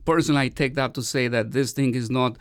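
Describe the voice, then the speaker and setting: A man's voice: mid-range voice, fast talker, no room sound, studio quality. A man from Romania co-hosting a casual Bible study talk, thoughtful and asking questions as he goes. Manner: thoughtful, asks questions, many fillers. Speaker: podcast co-host.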